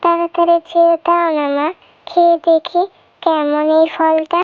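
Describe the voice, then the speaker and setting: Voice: very high voice, flat delivery, normal speed, no echo, phone-quality sound. An adult voicing a pleading, whiny young fox daughter in a children's cartoon story. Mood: excited.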